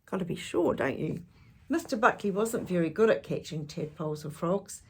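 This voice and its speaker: A woman's voice: fairly low pitch, lively intonation, moderate pace, gravelly, background noise. A woman storyteller reading aloud to children expressively, with chatty asides and questions to her listeners.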